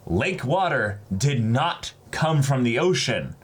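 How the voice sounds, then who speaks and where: A man's voice: mid-range voice, very animated, slow, breathy edge. A man co-hosting a fan channel, chatting casually and picking over fine points with emphatic repetition. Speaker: co-host of an anime fan channel.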